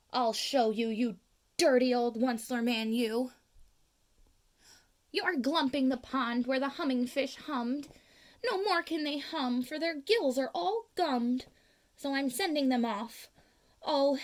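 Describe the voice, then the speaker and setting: A woman voicing a grumpy old forest creature from a storybook, croaky, scolding and pleading. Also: sits high. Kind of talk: storybook read-aloud.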